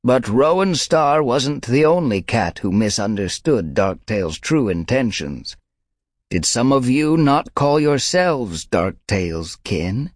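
A man doing a crusty old gray she-cat who has seen it all, her voice raised well above his own and rasping as it rumbles up from her chest. She talks slowly and firmly, and her words land hard in this dry audiobook reading. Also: very animated, no echo. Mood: stern, knowing.

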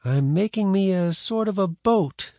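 A man's voice: sits high, lively intonation, a little slow, darkish tone, no echo, clean studio sound, pitched above the performer's own voice. A man voicing an earnest, defensive young frontier boy in a storybook, with plain folksy rural speech.

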